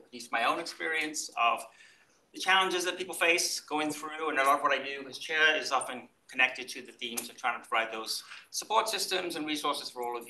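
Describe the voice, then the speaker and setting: A Welsh man with a slight Kentucky mix talks fast and encouragingly to a room of students. His voice is sharp and bright. He sounds set back from the mic, with the room echoing around him. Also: mid-range voice. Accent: Welsh mixed with Kentucky. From Wales. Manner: brisk, upbeat, encouraging. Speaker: department chair. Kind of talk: welcome talk to students. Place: lecture room. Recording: roomy, from a distance, faint noise.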